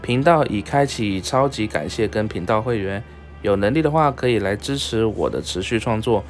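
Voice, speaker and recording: A man's voice: fairly low pitch, lively intonation, normal speed. A man narrating a scripted outro as the host of a gaming video channel. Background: music.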